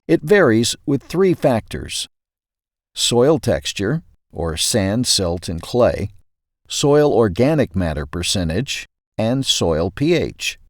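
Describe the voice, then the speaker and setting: A man narrates a scripted article in a lowish voice with lively intonation. He takes his time and articulates each point in an explanatory way. The recording is dry and close. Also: slow pace, no echo. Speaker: host reading an audio magazine article.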